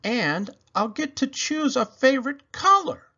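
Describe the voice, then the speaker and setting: Guy doing an eager easily flustered kids book character, big pitch swoops, kinda lo-fi recording. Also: very animated, unhurried, bright, forceful tone, heavily acted. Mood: eager, wondering. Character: Poppleton, eager, easily overwhelmed male storybook character. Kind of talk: children's read-aloud character voice.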